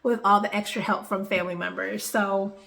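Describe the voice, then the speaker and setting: A young woman vlogging from home, her voice a little nasal and congested from a stuffy nose. She talks in a cheerful, easygoing ramble, with a bright, crisp edge to her tone. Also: medium pitch, flat delivery, fairly quick. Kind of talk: casual home vlog talk to camera.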